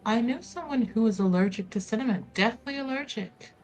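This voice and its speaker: A woman's voice: on the high side, fairly quick, darkish tone, low-quality recording. A woman playing a tabletop game, chatting casually and wryly, slipping into imagined quotes. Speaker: tabletop roleplay player. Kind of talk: casual chat.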